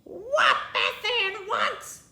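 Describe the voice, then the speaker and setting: Woman putting on a shrill, bossy little bully girl. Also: sharp tone, exaggerated voice. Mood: smug, gloating. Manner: bellowing, smug, drawn-out gloating. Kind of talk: children's storybook read-aloud. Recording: echoey room.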